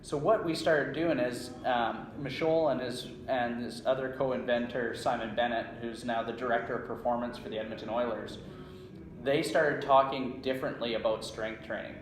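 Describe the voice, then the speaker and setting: A man's voice: mid-range voice, fairly even intonation, normal speed. Canadian man explaining in an echoey room. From small-town Saskatchewan, Canada. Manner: checks in often with "right?". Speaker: strength coach, interview guest. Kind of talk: conversational explanation and storytelling. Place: indoor room at a conference. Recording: roomy echo, faint background ambience.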